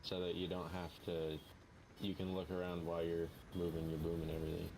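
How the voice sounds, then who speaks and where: Very deep male voice, dry wit, casual co-op banter, noisy recording. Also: monotone, fairly quick. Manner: dry, wry remarks. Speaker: co-player. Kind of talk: casual multiplayer gaming chat. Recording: noisy game capture.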